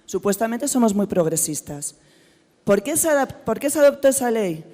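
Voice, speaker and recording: A hoarse woman from Spain with a Spanish accent, speaking with passion at a forum and asking repeated rhetorical questions. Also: on the high side, lively intonation, moderate pace, dark tone. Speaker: forum participant. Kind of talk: spoken remarks at a panel forum. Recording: hall reverb in a large room.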